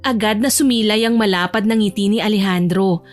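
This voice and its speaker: A woman's voice: mid-range voice, a little fast. A woman reading scripted romantic story narration as the narrator of an audio drama. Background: soft music.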